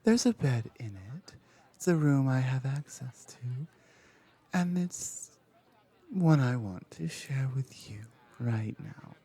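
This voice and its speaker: A woman voicing a confident, seductive woman in an intimate ASMR roleplay, slow, teasing and softly commanding, hushed and breathy at times. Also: extremely low pitch, expressive, voice pushed down, heavily acted.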